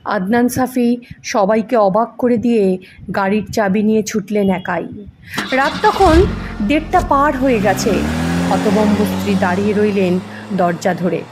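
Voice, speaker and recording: A woman's voice: mid-range voice, measured pace, noisy background. A woman reading a ghost story as dramatic scripted narration. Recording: music under the voice. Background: background music.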